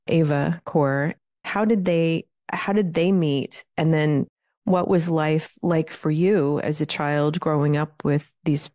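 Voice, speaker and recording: A woman hosting a podcast, asking questions slowly and gently. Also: deep voice, dry recording, clean studio sound. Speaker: podcast host. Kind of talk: interviewing.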